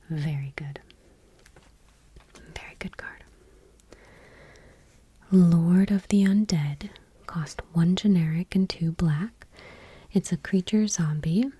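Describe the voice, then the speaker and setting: A woman doing gentle ASMR, reading aloud in a whispery, breathy, deliberate voice close to the microphone. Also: lowish voice, no room sound. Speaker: ASMR video creator. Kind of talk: soft-spoken ASMR, reading trading cards aloud. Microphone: close microphone, dry.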